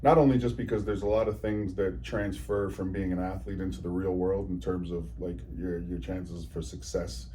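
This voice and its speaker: A man in his forties talking in a relaxed interview, easygoing and earnest, often repeating and restarting words. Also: low voice, moderate pace, few pauses, a little hiss or hum. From New Zealand, raised in Ontario, Canada. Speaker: guest, charity executive. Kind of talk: casual interview conversation.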